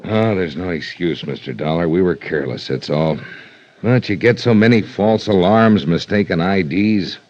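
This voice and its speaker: Casting: male actor as weary highway cop, deep and measured, plain-spoken, muffled vintage radio drama recording. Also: darkish tone, natural voice. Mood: rueful, subdued. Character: weary, rueful highway police lieutenant, plain-spoken adult man. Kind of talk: 1950s radio drama acting.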